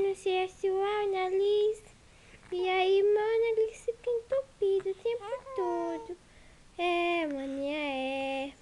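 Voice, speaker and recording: A woman's voice: extremely high pitch, a little mellow, a little hiss or hum. A woman voicing a baby girl with a cold, in whiny, tearful baby talk for a home video.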